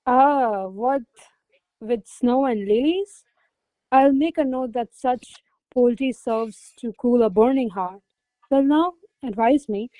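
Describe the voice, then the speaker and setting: A woman playing a haughty, teasing young noblewoman, high-voiced. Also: slow, no echo, narrow, tinny audio. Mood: coy, mocking. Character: proud, teasing young noblewoman, mistress to her secretary. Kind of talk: classical verse stage comedy as radio play.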